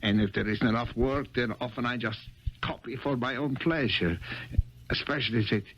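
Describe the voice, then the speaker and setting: An actor playing a timid, meek copying clerk in a 1970s radio drama, stammering and deferential. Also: male voice, mid-range voice, normal speed, fairly bright tone, lightly acted. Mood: nervous, deferential. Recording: muffled 1970s radio broadcast.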